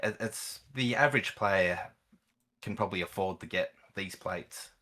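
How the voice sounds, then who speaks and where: A man with a low voice and a bright, forward edge speaks as a seasoned gamer. He explains things in a casual, conversational way as a guest on a chat. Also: moderate pace. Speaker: guest, experienced gamer and event organiser.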